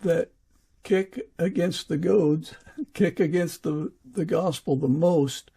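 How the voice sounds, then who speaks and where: Male interviewer, slightly high, heavy fry in the voice. Casual, halting delivery. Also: warm tone. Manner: hesitant, halting, chuckles between phrases. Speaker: podcast host.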